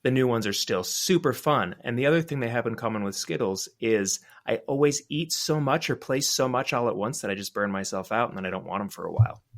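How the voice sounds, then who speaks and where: A man's voice: mid-range voice, fairly quick, few pauses. A man chatting casually as a podcast panelist, informal and opinionated. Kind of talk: casual chat about games and candy.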